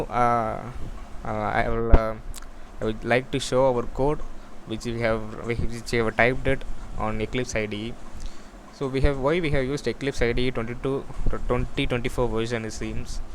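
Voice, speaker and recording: A man with a medium-pitched voice presents a technical project in an unscripted walkthrough. He explains haltingly, often restarting and repeating phrases. A faint layer of background noise sits behind his voice. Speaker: student or developer presenting a project.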